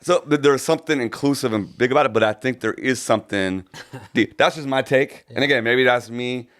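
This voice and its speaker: A man's voice: mid-range voice, brisk, a bit bright. A man co-hosting a casual podcast, talking thoughtfully and rambling with many restarts. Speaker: podcast co-host.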